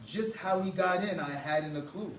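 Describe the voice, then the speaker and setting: A young man reading a children's story aloud to a class with expressive, dramatic phrasing, as a student teacher. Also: sits high, moderate pace. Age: young adult. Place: indoor school classroom. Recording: roomy, distant mic, faint noise.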